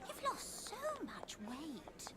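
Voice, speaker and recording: A high-voiced, snobbish doting mother. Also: wildly swooping pitch, slow, heavy background noise, exaggerated voice. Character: fussy, snobbish, doting mother, middle-aged. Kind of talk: audio drama acting. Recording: clear music under the voice.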